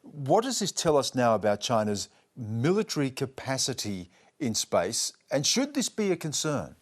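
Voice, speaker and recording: A male news host with a lively, shifting pitch puts probing questions to his guest, then turns to presenting. He sounds like a practised broadcast interviewer. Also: moderate pace.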